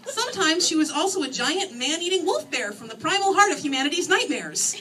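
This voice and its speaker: A woman reading her first-person novel aloud in an expressive, theatrical and wry manner. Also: very high-pitched, fairly quick. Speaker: author reading own novel. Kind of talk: animated first-person story reading to an audience. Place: screening room, live reading. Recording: distant microphone in a room.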